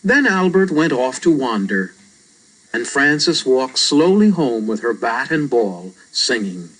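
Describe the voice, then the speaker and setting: A woman reading a children's story aloud as an expressive narrator. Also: rumbling low, takes it slowly, low-quality recording. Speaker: storybook reader.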